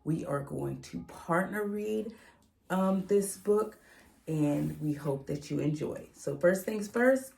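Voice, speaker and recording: A middle-aged American woman, an educator, reading a children's book aloud and explaining it in a deliberate, instructive way. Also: deep voice, vocal fry, warm tone. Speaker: educator and host. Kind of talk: children's picture book read-aloud with teaching.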